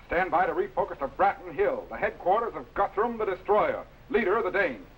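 An actor playing a brisk, commanding science-fiction captain of a time machine, in crisp 1950s television style. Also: male voice, sits high, very animated. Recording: noisy old 1950s film soundtrack.

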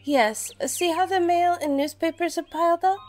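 Female neighbor: high-pitched, anxious, earnest busy mother confiding concerns, radio play. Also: moderate pace, a little mellow, faint music behind. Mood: worried, hesitant. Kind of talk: audio drama acting.

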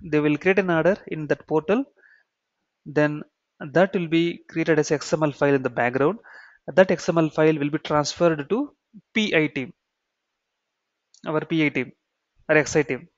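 Indian guy doing a software tutorial, repeats himself, says okay and right a lot, dry lofi audio. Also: a little high, moderate pace, long pauses, dry recording. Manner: repeats key phrases, checks with "okay" and "right". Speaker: software trainer. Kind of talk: explanatory screen-share tutorial. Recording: dull, muffled lo-fi sound.

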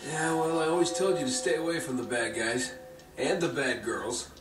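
A man's voice: fairly high pitch, moderate pace, distant mic, over music, natural voice. A man as a wry adventurer, roomy sound. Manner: casual, sardonic banter. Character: wry, easygoing young male treasure hunter. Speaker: treasure hunter hero in a video game. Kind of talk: naturalistic video game acting. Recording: roomy, noisy game audio from afar.

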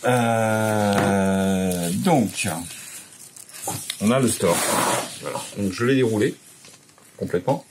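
A man's voice: fairly low pitch, slow, pauses often, noisy background. A French man in his forties casually explaining a repair step by step, hesitant with many fillers, in a large workshop. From France. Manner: hesitant, many "euh" and restarts. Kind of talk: casual how-to demonstration.

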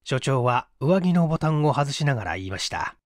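Expressive male audiobook narrator, lively intonation. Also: medium pitch, brisk, dry recording, clean studio sound. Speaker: audiobook reader, stage actor. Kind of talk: scripted literary narration of a mystery story.

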